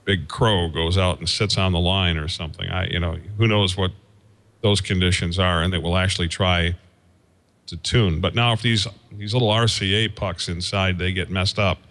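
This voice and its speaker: A man giving an informal technical explanation as a guest engineer, in a halting, folksy way, thinking aloud as he goes. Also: low-pitched, fairly even intonation, fairly bright tone. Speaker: guest, radio station engineer.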